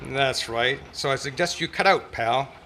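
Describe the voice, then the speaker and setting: A hardboiled radio detective, acted out by a man, with a bright, forward voice at a middle pitch. He is tough, wry and world-weary, slinging slang as he goes, over a noisy amateur live recording. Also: moderate pace. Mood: confronting. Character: tough, gallant male private eye, wry and unflappable. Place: club meeting, live performance before microphone.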